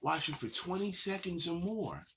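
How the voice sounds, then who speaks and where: A man hosting a children's story time, talking straight to young children in simple, repeated, encouraging phrases, with a gravelly, husky voice. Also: sits high, sing-song, moderate pace, a bit bright, a little hiss or hum. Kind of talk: addressing young children directly.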